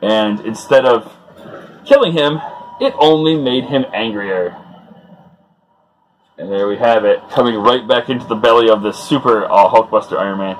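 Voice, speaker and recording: A man's voice: fairly low pitch, normal speed, long pauses, a little hiss or hum, poor audio. A man hosting a comic read-along, retelling the story off the cuff with informal, chuckling fan enthusiasm and loosely acted dialogue. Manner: acts out dialogue loosely in his own voice.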